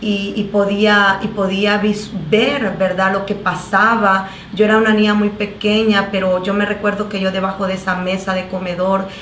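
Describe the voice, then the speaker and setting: A woman pastor from El Salvador with a Salvadoran Spanish accent, giving her testimony on a talk show in an earnest, halting way with many fillers and restarts. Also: medium pitch, level tone, a bit bright, roomy sound, a little hiss or hum. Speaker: pastor, guest.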